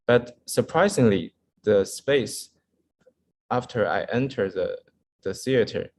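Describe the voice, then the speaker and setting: A man's voice: mid-range voice, takes it slowly, a little mellow. A man from China with a Chinese accent gives an informal slide lecture in English as a second language, hesitating often as he searches for words. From Wuhan, China. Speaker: architect giving a guest lecture.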